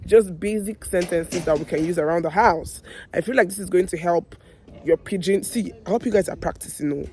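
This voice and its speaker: A woman with a Nigerian accent and a hoarse voice, teaching everyday phrases to viewers with slow, deliberate repetition. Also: lowish voice, warm tone, slight background noise. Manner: addresses viewers directly, repeats phrases slowly and deliberately. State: hoarse, losing her voice. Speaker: online language teacher, video host. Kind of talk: language lesson with slow repeated example phrases.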